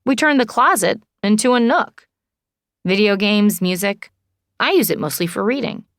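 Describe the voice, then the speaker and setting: A woman's voice: medium pitch, animated, moderate pace, no room sound, studio quality, pitched above the performer's own voice, lightly acted. A woman voicing an easygoing, boyish young man, earnest and a little goofy, in audiobook dialogue. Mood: casual, earnest.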